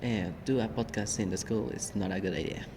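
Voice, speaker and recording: A young man from Mexico speaking English with a Mexican Spanish accent, telling a story in a casual podcast chat with many "uh" pauses. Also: lowish voice, gravelly, background noise. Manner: halting, many "uh" fillers. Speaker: podcast co-host.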